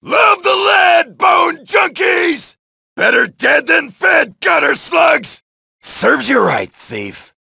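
An actor playing a brash, wisecracking male soldier who shouts taunts and one-liners while killing zombies in a video game, with a gravelly voice. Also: extremely high pitch, sing-song, takes it slowly, no echo, some chatter behind, heavily acted. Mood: taunting. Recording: dry studio voice lines.

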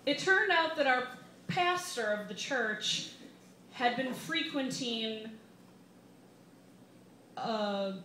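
A woman in her twenties with an American accent, reading a comedic personal essay live with wry, deliberate timing at a bar microphone. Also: on the high side, animated, pushed and bright. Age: 20s. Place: small bar reading series, indoors. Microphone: microphone on a stand, held close. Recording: roomy live bar, some background noise.

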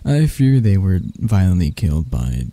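A man voicing a sadistic, seductive male spider-elf predator in intimate ASMR roleplay, breathy and teasing with his endearments. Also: low voice, very animated, mellow, no room sound. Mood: predatory, teasing. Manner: slow, teasing, purring endearments.

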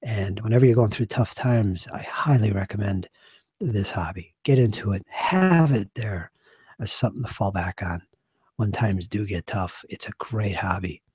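Hoarse middle-aged man rambling on a stream. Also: fairly low pitch, lively intonation, normal speed, dry recording. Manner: rambling, earnest, trailing off quietly. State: losing his voice, hoarse. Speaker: game master and channel host. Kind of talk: casual live-stream chat. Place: small home study with shelves. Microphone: desk microphone.

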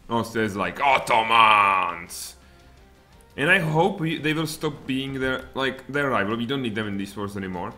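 A man in his thirties with a Czech accent, streaming a strategy game from home in lively, muttered commentary with sudden outbursts. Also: medium pitch, moderate pace, soft background music. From Czech Republic. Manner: animated, muttering asides, sudden exclamations. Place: home room, indoors.

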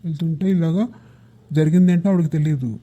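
Very mellow, husky man telling stories. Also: on the high side, steady tone, brisk. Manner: conversational, explanatory, addressing young listeners. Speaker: storyteller speaking as a teacher to children. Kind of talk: informal moral storytelling. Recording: muffled, dull lo-fi audio.

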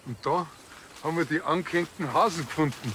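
An actor playing a naive, cheeky, excitable police officer with a Bavarian accent in a TV crime comedy. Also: male voice, on the high side, brisk, a little hiss or hum, lightly acted. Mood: matter-of-fact.

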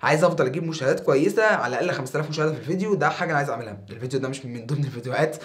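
A young man in his twenties with an Egyptian Arabic accent, talking casually to camera as a YouTuber in a small foam-paneled room, animated and a bit tired. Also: medium pitch, racing. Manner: animated, gestures a lot with his hands.

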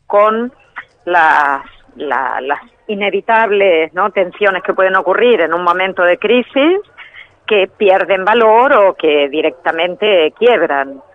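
A woman guest calling in by telephone, explaining ideas in a measured way and sometimes correcting herself mid-phrase. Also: lowish voice, lively intonation. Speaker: phone-in guest, trainer in biological decoding. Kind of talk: explanatory interview answers. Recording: tinny phone line.

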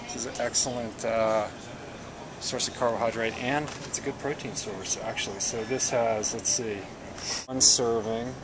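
Male athlete, 30s, laid-back store walkthrough, medium voice, noisy camera audio. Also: mid-range voice. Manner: relaxed, explanatory, loose with fillers. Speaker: athlete giving nutrition tips. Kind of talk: casual handheld grocery tour. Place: indoor grocery store, air conditioning hum. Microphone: handheld camera mic. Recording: loud store background noise.

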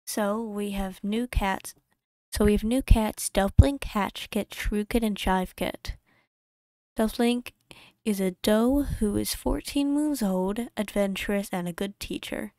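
A woman's voice: medium pitch, warm tone, no echo. A woman narrating her game playthrough in a casual voice-over from a home desk mic, rambling and correcting herself as she goes. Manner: rambling, self-correcting, quick asides. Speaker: YouTube creator.

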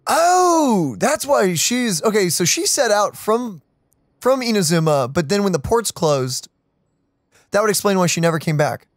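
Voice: mid-range voice, big pitch swings, measured pace, no room sound. A young adult let's play co-host chatting casually and reacting to a game with slangy enthusiasm. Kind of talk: casual gaming chat and reactions.

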